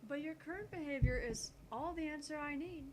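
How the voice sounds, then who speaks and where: A woman's voice: sits high, a little fast, darkish tone, slight background noise, natural voice. A woman voicing a brusque, self-assured eighteen-year-old female genius scientist in a visual novel, curt and no-nonsense. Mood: accusing, cool. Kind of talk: visual novel read aloud in character.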